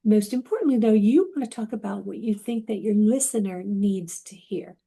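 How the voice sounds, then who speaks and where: A woman's voice: medium pitch, normal speed, gravelly, warm tone, slightly rough. A woman instructor giving a recorded class lecture in a conversational way, with frequent ums and restarts.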